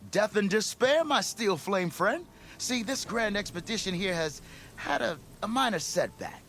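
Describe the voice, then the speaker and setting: A boastful expedition leader for a video game, grandiose and flattering. His fairly high voice swings widely up and down as he turns every line into florid showmanship. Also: a little slow, a little hiss or hum, full character voice. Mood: dramatic, confiding. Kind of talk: video game character acting.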